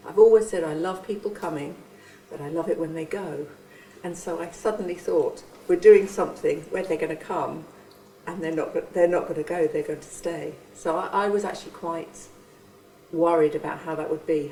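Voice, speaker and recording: A woman earnestly recounting her experience of hosting, pausing and restarting as she goes. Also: deep voice, mellow. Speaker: church member and refugee host. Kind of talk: reflective testimony. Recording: roomy, from a distance.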